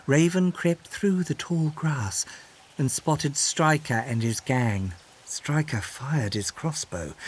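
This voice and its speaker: A man narrating a scripted children's story in an expressive, dramatic way. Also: on the high side.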